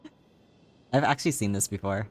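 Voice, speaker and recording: A man streaming live, chatting casually with a slightly raspy, tired voice and rambling, filler-heavy talk. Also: fairly low pitch, brisk. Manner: rambling, many fillers, laughs often.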